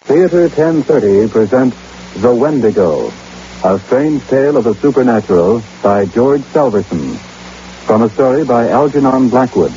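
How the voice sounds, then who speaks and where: A man's voice: mid-range voice, mellow. A man formally announcing a vintage radio drama. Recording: muffled old radio recording, faint noise.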